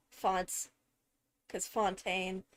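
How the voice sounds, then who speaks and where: A woman streamer giving casual, emphatic analysis of a game video, halting as she thinks through points. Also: mid-range voice, steady tone. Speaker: streamer, host.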